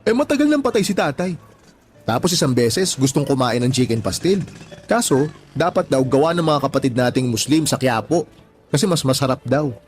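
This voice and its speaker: A man plays an easygoing family man in a radio drama. He speaks at a middle pitch, chatty and colloquial, soothing and advising his pal. Soft music runs behind him. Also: very animated, a little fast, natural voice. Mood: chatty storytelling. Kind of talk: Tagalog radio drama acting.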